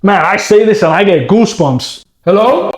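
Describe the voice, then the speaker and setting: A man's voice: high voice, expressive, normal speed, roomy sound. A man from Rico, rambling casually in a horror movie review and often tossing in "right?" and "bro". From Puerto Rico. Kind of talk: casual rant to camera.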